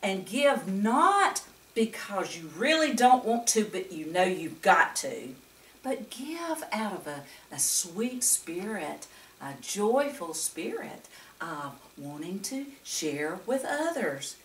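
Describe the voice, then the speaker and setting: Lively, echoey older woman reading to children. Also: medium pitch, slow, a little hiss or hum. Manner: grandmotherly, addresses children with questions and asides. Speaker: children's Bible story reader and teacher. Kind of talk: animated read-aloud storytelling and Bible lesson for children. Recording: echoey room, distant microphone.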